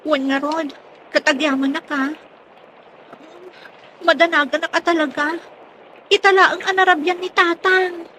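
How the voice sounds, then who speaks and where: Shrill, anxious daughter. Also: female voice, steady tone, a little slow, pauses often, bright, forceful tone, slight background noise, exaggerated voice, poor audio. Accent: Ilocano. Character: anxious daughter fretting over her missing father. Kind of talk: radio drama acting.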